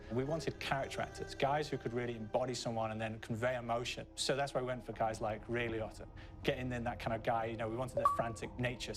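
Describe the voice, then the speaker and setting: Male developer interviewee, conversational explainer, quick pace, music underneath. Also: mid-range voice. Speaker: game developer. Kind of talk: behind-the-scenes interview. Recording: clear music bed behind.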